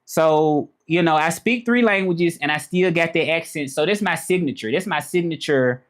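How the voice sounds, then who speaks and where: A man's voice: fairly high pitch, normal speed. Chatty Southern man from Memphis. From Memphis, Tennessee. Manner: rambling, chatty, repeats himself. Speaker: vlogger answering live chat. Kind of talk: casual live-stream chat.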